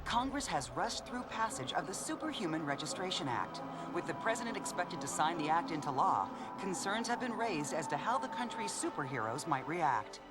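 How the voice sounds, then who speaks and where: A man's voice: a little high, very animated, moderate pace, hardly any pauses. A man playing a serious television news reporter delivering a scripted report in a game cutscene. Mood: grave. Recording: loud music behind report.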